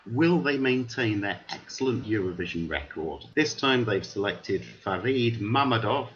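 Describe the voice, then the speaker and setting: A man hosting a panel discussion, briskly steering questions to his guests. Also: on the low side, expressive, a bit bright. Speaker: host. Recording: dull lo-fi audio with faint hiss.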